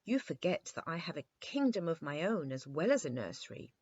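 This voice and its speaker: A woman's voice: low-pitched, big pitch swings, a little fast, a little mellow, husky, lo-fi sound, heavily acted. A woman voicing a petulant, haughty little boy king in a children's storybook reading. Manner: cross, haughty, complaining.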